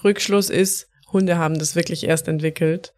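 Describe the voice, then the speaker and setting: A woman's voice: on the low side, fairly even intonation, normal speed, darkish tone. A woman co-hosting a casual podcast, telling stories in a chatty way and laughing often. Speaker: podcast co-host.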